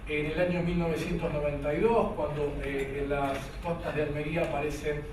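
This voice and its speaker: A man's voice: fairly high pitch, level tone, a little fast. Argentine man lecturing in an echoey hall. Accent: Argentine Spanish. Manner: hesitant, many "eh" fillers and restarts. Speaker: conference lecturer. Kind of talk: academic lecture with a read-aloud poem. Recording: echoey hall from far off, faint noise.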